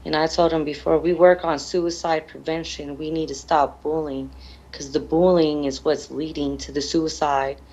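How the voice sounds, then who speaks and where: A grieving middle-aged woman being interviewed, speaking plainly and haltingly about painful things. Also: low-pitched, flat delivery, darkish tone, dull audio. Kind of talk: emotional television interview.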